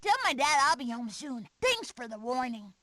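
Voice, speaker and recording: A woman voicing a young, eager, jittery animal in an animated game. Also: high voice, moderate pace, heavily acted. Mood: chatty. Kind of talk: animated video game character acting. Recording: noisy game clip rip.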